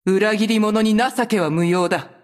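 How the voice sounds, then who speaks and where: A woman voicing a proud, vengeful sorceress from a video game, speaking in a cold, haughty, deliberate manner. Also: medium pitch, lightly acted. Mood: cold, scornful. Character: proud, vengeful sorceress who betrayed her cursed clan. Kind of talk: video game character voice lines in Japanese.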